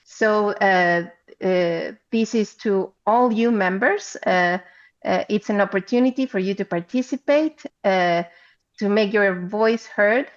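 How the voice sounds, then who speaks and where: A woman's voice: medium pitch, takes it slowly. Guatemalan-accented woman, slightly dull call audio. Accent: Guatemalan Spanish. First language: Spanish. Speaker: council chair speaking as panelist. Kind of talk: remote conference presentation. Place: remote, on a video call.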